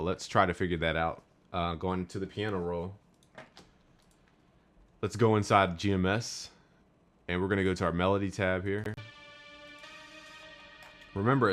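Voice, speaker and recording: A young man with a very low voice, walking through a music production tutorial step by step. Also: fairly bright tone. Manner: step-by-step, instructive. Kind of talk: screen-recorded software tutorial.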